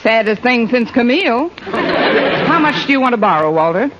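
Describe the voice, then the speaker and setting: An American woman playing a wry, wisecracking high school English teacher in 1940s radio sitcom style, delivering punchlines deadpan. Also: moderate pace. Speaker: lead actress in a radio comedy. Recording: dull, noisy old radio recording, studio audience.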